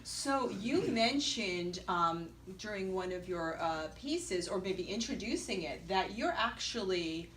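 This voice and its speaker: A woman interviewing performers after a concert, encouraging and quick to laugh. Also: mid-range voice, animated, a little hiss or hum. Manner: warm, encouraging, laughs often. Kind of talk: casual interview. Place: indoor concert venue. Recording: echoey room, distant mic.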